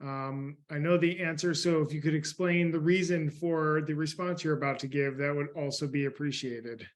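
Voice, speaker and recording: A man's voice: a little high, steady tone, normal speed. A man moderating a panel on a video call, easygoing with fillers.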